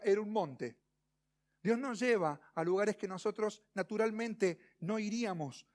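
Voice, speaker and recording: High-pitched Argentine man preaching. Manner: didactic, rhetorical questions, emphatic pauses. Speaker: pastor preaching. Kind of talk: expository sermon.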